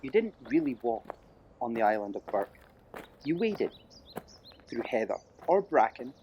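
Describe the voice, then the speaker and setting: A man's voice: medium pitch, a little mellow. Male storybook narrator, noisy recording. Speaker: audiobook narrator.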